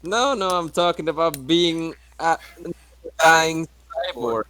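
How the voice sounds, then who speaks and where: A man's voice: a little high, slow, sharp tone, dry recording. A man chatting casually, with some swearing, as a player in a tabletop game.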